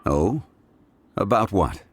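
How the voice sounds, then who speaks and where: A man playing an ancient god in a video game, calm, formal and weary, speaking in a grave, deliberate way. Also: noisy background. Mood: gentle. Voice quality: deep, measured voice. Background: game music.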